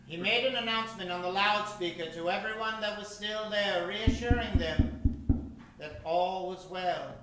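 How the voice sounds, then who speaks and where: A man's voice: sits high, unhurried, noisy background. A man playing a melodramatic narrator and announcer of an old-time radio horror show. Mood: ominous. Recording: echoey room, distant mic, music behind.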